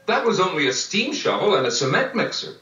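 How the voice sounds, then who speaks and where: Exasperated, insistent male house buyer, acted by a male storyteller, high voice, roomy vintage children's record. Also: sing-song, fairly quick, sharp tone, distant mic, full character voice. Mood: puzzled, insistent. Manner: indignant, insistent. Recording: dull vintage record, roomy, music behind.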